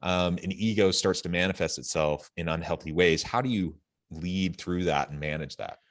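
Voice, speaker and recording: A man with a deep voice hosts a podcast interview. He speaks conversationally and wordily, working through his thoughts aloud as he builds up to a question. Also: moderate pace. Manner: wordy, thinks aloud while framing questions.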